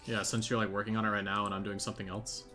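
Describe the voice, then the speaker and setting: A man's voice: lowish voice, little pitch movement, very fast. A man hosting a game developer's live stream, chatting casually and thinking aloud with plenty of fillers while he works. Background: background music.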